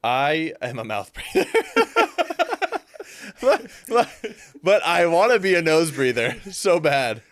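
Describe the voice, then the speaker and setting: A man's voice: on the high side, takes it slowly, no echo, clean studio sound. A young man, a fitness coach guest, chatting casually and animatedly, with frequent fillers. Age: young adult. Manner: animated, fills speech with "like". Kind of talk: casual chat.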